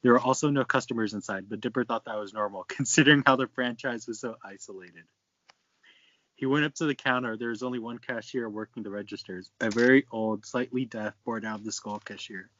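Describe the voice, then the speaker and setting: A man's voice: mid-range voice, a little fast, pushed and bright, no echo. A man casually reading fan fiction aloud, stumbling over lines and breaking off to swear and comment. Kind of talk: casual read-aloud with banter. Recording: dry, dull lo-fi close mic.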